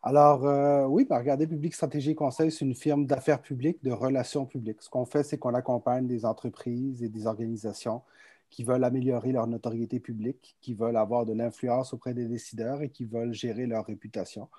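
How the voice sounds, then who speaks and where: A man's voice: mid-range voice, flat delivery, brisk, warm tone, dry recording. A man around forty, from Chile and raised in France, with an accent that is half Québécois and half French, speaking as a guest entrepreneur on a video call and repeating words as he thinks. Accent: mixed Québécois and French. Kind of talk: relaxed conversational storytelling. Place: home office on a video call.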